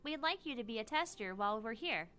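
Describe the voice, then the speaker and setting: A woman's voice: a little high, lively intonation, fast, bright, forceful tone. A woman voicing an earnest young female research scientist in an anime game read-aloud, explaining things in a businesslike way. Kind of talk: visual novel character read-aloud.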